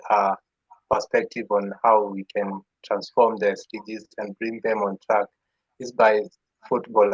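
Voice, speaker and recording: Husky-voiced young man on a call. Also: fairly low pitch, dry recording. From Kenya. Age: young adult. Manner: hesitant, with many uhs. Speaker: youth forum participant. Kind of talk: opening remarks on a video call. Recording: dull, dry video call audio.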